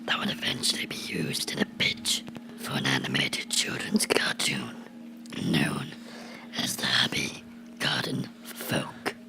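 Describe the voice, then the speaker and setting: A man voicing a bitter, menacing cartoon character in a slow, brooding horror ASMR monologue, whispering in a breathy, gravelly voice. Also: rumbling low, full character voice, low-quality recording. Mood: ominous, brooding. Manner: slow, deliberate, brooding storytelling with dramatic pauses. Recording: whispered with music underneath.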